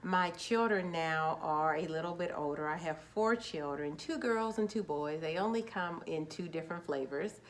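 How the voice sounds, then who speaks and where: Chatty woman in her forties, lowish voice. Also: moderate pace, few pauses, low-quality recording. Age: 40s. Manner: chatty, upbeat, restarts words when nervous. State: a little nervous. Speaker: children's book author. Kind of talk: casual talk to camera.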